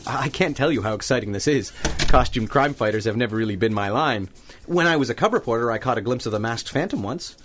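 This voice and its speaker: An eager newspaper man in a 1930s radio play. His mid-pitched voice swings widely up and down as he chatters on, effusive, polite and keen to please. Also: expressive, quick pace, fairly bright tone. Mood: eager, flustered. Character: eager, chatty, ingratiating male newspaper reporter. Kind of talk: 1930s-set radio drama acting.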